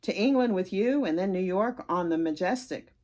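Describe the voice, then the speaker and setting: A woman's voice: mid-range voice, lively intonation, normal speed, darkish tone, natural voice. A woman voicing a hearty, kindly older English sea captain in a storybook reading. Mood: hearty, friendly.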